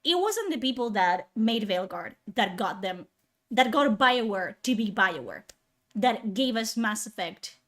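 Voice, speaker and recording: A woman's voice: on the high side, animated, measured pace, a bit bright. Sarcastic, heated woman streamer ranting.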